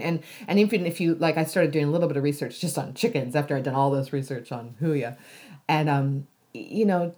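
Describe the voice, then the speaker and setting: Low voiced american lady in an interview, reflective, keeps restarting, laughs a lot. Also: mellow. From United States. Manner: thoughtful, many restarts, laughs easily. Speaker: interview guest.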